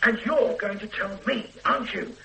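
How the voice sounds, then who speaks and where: A man's voice: high-pitched, normal speed, vocal fry, sharp tone, slight background noise, heavily acted, low-quality recording. An actor playing a blunt male police inspector in a radio drama, probing and sardonic, turning threatening when a witness holds back. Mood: pressing. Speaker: police detective questioning a witness. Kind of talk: 1970s-80s radio drama acting.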